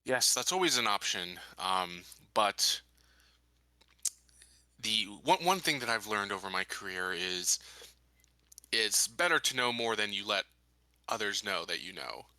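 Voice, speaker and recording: A man playing a calculating colony leader in a tabletop game, speaking in a deliberate, formal, halting way. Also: on the low side, animated, pushed and bright, dry recording, exaggerated voice. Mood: cautious, firm. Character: measured, calculating male colony leader giving orders.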